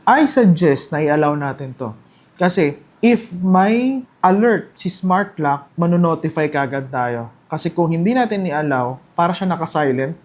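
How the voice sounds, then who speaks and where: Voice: medium pitch, animated, a little slow, a little mellow. Filipino presenter giving a casual product walkthrough. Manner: conversational, instructional. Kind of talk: casual step-by-step product demo in Taglish.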